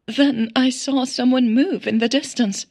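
Woman voicing a shaky, frightened woman, higher-pitched and husky. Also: steady tone, a little mellow. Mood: shaken. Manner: halting, shaky. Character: frightened, shaken young woman recounting an attack. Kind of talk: audio drama dialogue.

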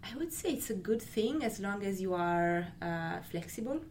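A woman's voice: medium pitch, lively intonation, a little mellow, a little hiss or hum. A woman from Italy, a creative recruiter, speaking thoughtfully in a casual interview. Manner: thoughtful, measured, with fillers.

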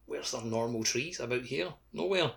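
A man's voice: mid-range voice, expressive, normal speed, gravelly, fairly bright tone. A man chatting informally as a co-host on a gaming podcast. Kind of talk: casual gaming podcast chat.

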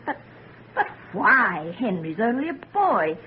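A dull, slightly hissy vintage radio recording carries a middle-aged society matron. Her voice is highly animated, emphatic and protective, with an indignant edge. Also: slow. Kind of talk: old-time radio drama acting.

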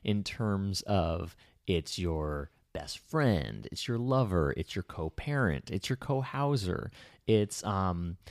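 A man's voice: low voice, lively intonation, takes it slowly, no room sound, clean studio sound. A man co-hosting a casual talk show, a public speaker who reflects aloud and often restarts his sentences while thinking. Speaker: podcast co-host and public speaker. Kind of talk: casual conversational chat.

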